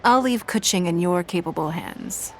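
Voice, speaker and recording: A courteous young official, polite and gentle. Her fairly low voice is calm, with faint game music behind. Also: normal speed.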